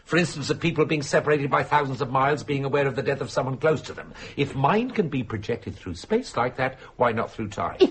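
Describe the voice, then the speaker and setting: An actor playing a pompous, teasing journalist husband in a radio drama, speaking in a wordy, theatrical manner. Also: male voice, mid-range voice, lively intonation, fairly quick, hardly any pauses, a bit bright, slight background noise. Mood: earnest.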